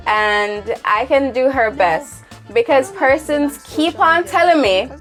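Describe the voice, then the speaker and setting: A woman's voice: sits high, takes it slowly, faint music behind. A young woman contestant from Jamaica, chatting in a bubbly way about her impression. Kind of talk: casual reflection.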